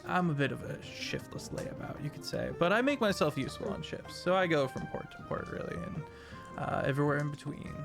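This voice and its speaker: A man's voice: a little high, lively intonation, brisk, vocal fry, music in the background. A man voicing a tipsy, shiftless druid drifter in a drawling, airy manner. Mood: breezy.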